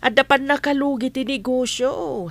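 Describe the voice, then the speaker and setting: Worried village wife, acted by a woman, higher-pitched. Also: steady tone, normal speed, dry recording. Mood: wary, reluctant. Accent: Ilocano. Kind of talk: scripted audio drama acting.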